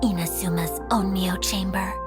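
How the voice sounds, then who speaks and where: A woman's voice: mid-range voice, steady tone, normal speed, over music, natural voice. A woman playing an eager, polite young caretaker of a magical realm in a game, formal and earnest. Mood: proud, enthusiastic. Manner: formal, earnest, effusive, apologetic. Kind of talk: game cutscene character dialogue.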